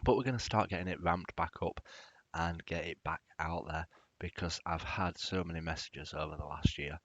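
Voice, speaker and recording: Man, podcast host, soothing, rambling casual chat, deep voice, lo-fi recording. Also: normal speed, fairly bright tone, dry recording. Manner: chatty, rambling, with fillers.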